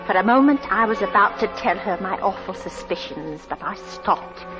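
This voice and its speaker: A woman's voice: mid-range voice, expressive, moderate pace, natural voice. A woman playing a fearful middle-aged landlady and mother, narrating breathlessly and melodramatically in 1940s radio style. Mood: tense confiding. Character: anxious, suspicious landlady, wife and mother. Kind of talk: 1940s radio acting, dramatic first-person narration. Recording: dull 1940s radio recording. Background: dramatic music.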